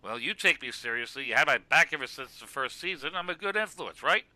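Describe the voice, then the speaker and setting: A man's voice: mid-range voice, lively intonation, fairly quick, sharp tone, no room sound. A man playing an exasperated, mocking wrestler in a scripted web cartoon, scolding with emphatic sarcasm. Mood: earnest, insistent. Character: exasperated, mocking male wrestler, the self-styled straight man. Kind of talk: scripted comic argument in web animation.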